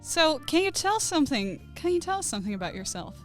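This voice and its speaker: Woman, podcast host, English as a second language, careful short prompts, fairly high voice, music behind. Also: very animated, quick pace. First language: not English. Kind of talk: podcast interview hosting.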